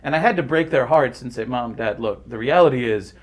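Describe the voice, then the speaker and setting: Animated middle-aged man telling stories. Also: mid-range voice, a little fast. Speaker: voice actor guest. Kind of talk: casual conversational storytelling.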